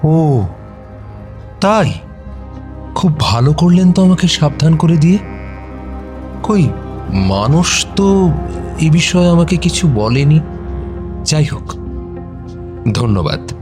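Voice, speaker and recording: A man's voice: fairly high pitch, lively intonation, takes it slowly, pauses often, darkish tone, faint music behind. A man playing a polite, modest photographer under questioning in a radio detective drama, slightly hesitant. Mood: puzzled, polite.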